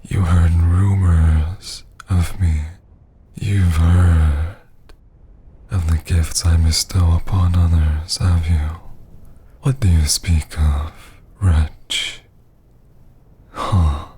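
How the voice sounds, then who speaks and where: Vampire lord: haughty, menacing, theatrical ASMR delivery, very husky and whispery, low-pitched. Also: male voice, little pitch movement, mellow, heavily acted. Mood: intrigued, brooding. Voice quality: breathy, husky, often dropping to a whisper. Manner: slow, theatrical, archaic menacing phrasing. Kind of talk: scripted ASMR roleplay.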